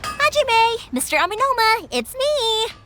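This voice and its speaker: Bubbly high-voiced young woman. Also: big pitch swings, unhurried, slight background noise, heavily acted. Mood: cheerful. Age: young adult. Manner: bubbly, chatty, breezy. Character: bubbly, chatty, warmhearted young fireworks maker woman. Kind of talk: English video game voice acting. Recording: soft music behind the voice. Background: game background music.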